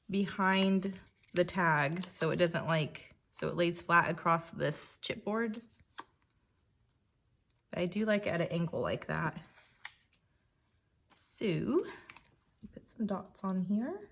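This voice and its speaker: A woman with a slightly croaky voice, pitched a little low for a woman. She chats casually and thinks aloud as she works through a crafting project. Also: slow pace, pauses often, a bit bright, slight background noise. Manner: chatty, thinking aloud while working. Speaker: scrapbooking creator.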